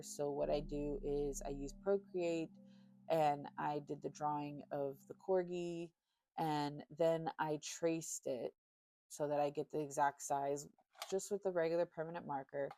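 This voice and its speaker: A woman in her thirties chatting casually and relaxed, with easy fillers and light laughter, telling a storytime while beading. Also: deep voice, a little slow. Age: 30s. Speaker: crafter and vlogger. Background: faint chill music.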